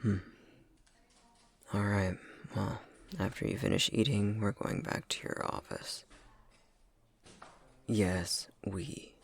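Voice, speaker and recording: A man's voice: on the low side, fairly even intonation, slow pace, gravelly, pauses often, husky, heavily acted. A man playing a prickly, grudgingly caring elf academic in an ASMR roleplay, with a curt, haughty, exasperated delivery that often drops into whispers. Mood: dry, grudgingly kind.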